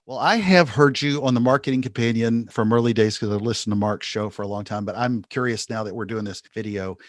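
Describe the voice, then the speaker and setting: A man's voice: mid-range voice, brisk, dry recording, clean studio sound. A man hosting a podcast, moving between a scripted introduction and interview questions. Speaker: podcast host.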